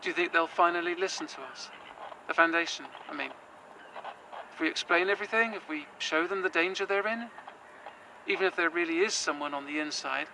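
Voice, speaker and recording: An anxious, earnest young man, played by a male actor in an audio drama, speaking. His voice sits high and very bright, and he talks hesitantly with frequent fillers. Faint outdoor noise hums behind him. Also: fairly quick, gravelly, heavily acted, poor audio. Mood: worried.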